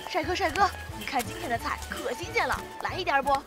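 A woman's voice: high-pitched, lively intonation, fast talker. A woman playing a pushy, chirpy middle-aged market vendor in an audio drama. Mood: eager. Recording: music behind the voice.

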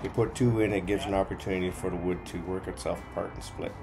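Low-voiced man, folksy. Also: steady tone, quick pace, gravelly, music in the background. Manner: folksy, explanatory. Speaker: vlog host and builder. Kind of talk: casual video commentary.

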